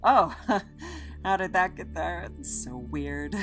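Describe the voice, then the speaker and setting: Woman as an animated, flustered youngster. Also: on the low side, takes it slowly, soft background music. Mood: awkward, flustered.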